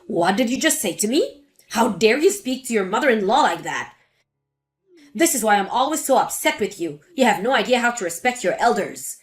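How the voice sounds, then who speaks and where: A woman voicing a spiteful older mother-in-law who lectures and sneers, with a lowered voice. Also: very animated, moderate pace, faint music behind. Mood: indignant. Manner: scornful, lecturing.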